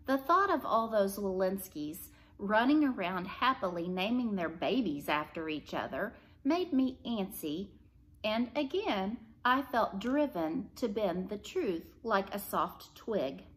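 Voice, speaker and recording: A woman reading a story aloud in an expressive narrating style. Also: medium pitch, measured pace. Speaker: storybook reader.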